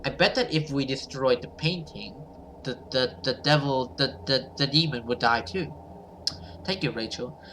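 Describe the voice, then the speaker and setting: Bright-voiced man reading aloud, noisy recording. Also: medium pitch, normal speed. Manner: stumbles over words and rereads. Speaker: gamer reading a visual novel aloud. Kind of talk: read-aloud narration of horror story with character voices.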